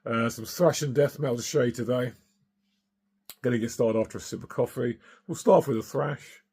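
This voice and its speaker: Hoarse, gravelly-voiced man chatting casually. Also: medium pitch, a little fast, dark tone. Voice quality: gravelly, husky. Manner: chatty, enthusiastic, lots of uh and um. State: hoarse from singing. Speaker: vinyl collector vlogger. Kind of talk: casual record collection show-and-tell.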